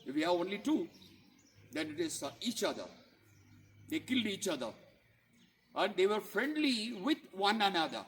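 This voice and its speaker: A man telling a story to children in a teacherly way, pausing to ask questions and explain words. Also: high voice, big pitch swings, a bit bright, reverberant, slight background noise. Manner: didactic, asks listeners questions and explains words.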